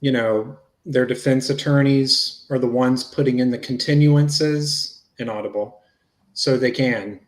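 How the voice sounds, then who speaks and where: Roomy echo, man acting a patient investigator, mid-pitched, casual explaining, police interview reading. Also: fairly even intonation, a little slow, close to an everyday voice. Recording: roomy, echoey sound.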